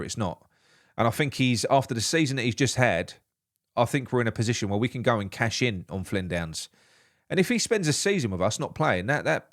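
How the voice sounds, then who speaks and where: A man, nasal from a blocked nose, hosting a solo live football morning show in a rambling, opinionated way. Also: mid-range voice. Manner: opinionated, rambling with frequent restarts. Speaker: football podcast host.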